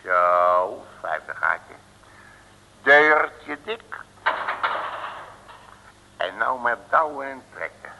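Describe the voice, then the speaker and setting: An actor playing a bumbling, cocksure detective in an old radio comedy serial, colloquial and exclamatory. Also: male voice, mid-range voice, lively intonation, very slow, vocal fry, long pauses, full character voice. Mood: cocky. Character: bumbling, cocksure male detective, cheery and blustering. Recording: dull, hissy old radio recording, long reverb.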